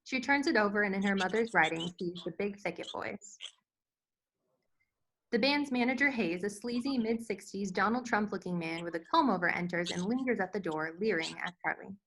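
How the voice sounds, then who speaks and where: Bright-voiced woman narrating a script. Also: medium pitch, expressive, moderate pace, low-quality recording. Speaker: stage-direction narrator.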